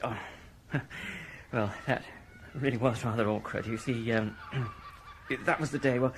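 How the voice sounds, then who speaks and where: A man's voice: mid-range voice, fairly even intonation, measured pace, slight background noise, lightly acted. An actor playing an agitated, well-bred British man under suspicion in a radio drama, hesitant and indignant. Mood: sheepish. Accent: British English.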